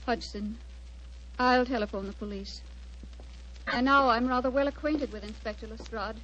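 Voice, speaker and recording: A woman's voice: on the high side, moderate pace, a bit bright, lightly acted. An actress playing a composed, commanding lady of the house in 1940s radio style. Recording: muffled, noisy 1940s radio recording.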